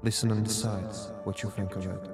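A man's voice: fairly low pitch, little pitch movement, fairly quick, over music, natural voice. A man playing an earnest rebel radio host in a dystopian drama, speaking solemnly and rallying the listener in a scripted, intimate address. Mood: solemn, defiant.

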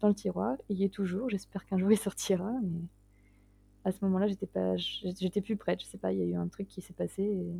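A woman's voice: medium pitch, little pitch movement, brisk, gravelly, warm tone, soft background music. A woman in her late twenties, a guest writer talking casually in an interview, hesitant and self-deprecating, often breaking into laughs. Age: 20s.

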